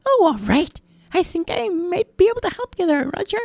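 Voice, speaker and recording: A woman doing a squeaky giggling tiny magic man. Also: animated, fairly quick, vocal fry, a little mellow, no room sound, full character voice. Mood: cheerful. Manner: chirpy, giggling.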